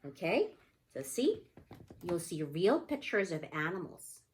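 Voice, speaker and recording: A woman's voice: on the low side, very animated, a little slow, slight background noise. A woman teaching young children in a read-aloud lesson, explaining simply and prompting with repeated questions. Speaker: pre-K teacher.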